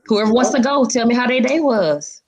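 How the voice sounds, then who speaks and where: A woman's voice: on the high side, lively intonation, brisk. A woman hosting an upbeat interview show in an informal, chatty way, often repeating short affirmations. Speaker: show host.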